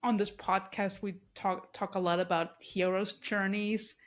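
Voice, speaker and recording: A woman's voice: mid-range voice, flat delivery, unhurried. Austrian-born woman hosting a podcast. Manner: warm, effusive, many restarts and fillers. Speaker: podcast host. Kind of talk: casual interview conversation.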